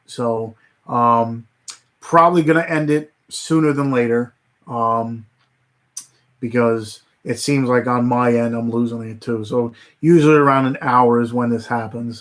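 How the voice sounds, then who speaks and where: A man hosting a casual livestream, rambling with many fillers and restarts, his voice starting to go hoarse. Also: mid-range voice, steady tone, measured pace. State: voice getting hoarse. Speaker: livestream host.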